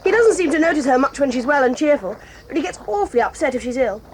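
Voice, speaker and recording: An actress voicing a proud, quick-tempered tomboy girl in an audio drama, talking in a brisk, bossy and eager way. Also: high voice, lively intonation, background noise. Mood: excited, lively. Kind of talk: 1980s audio drama acting.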